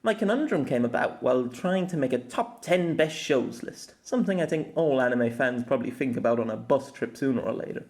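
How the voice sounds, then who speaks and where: A man narrating a scripted opinion essay as host, in deliberate, formal phrasing with colloquial asides. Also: mid-range voice, animated, a little mellow. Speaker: host of an anime audio essay.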